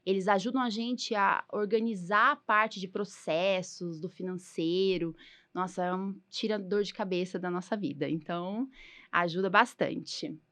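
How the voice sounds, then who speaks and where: A Brazilian woman in her forties hosts a relaxed podcast chat. She talks in a loose, chatty way with lots of small fillers, her pitch sitting in an everyday middle range. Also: unhurried. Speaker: podcast host, allergist.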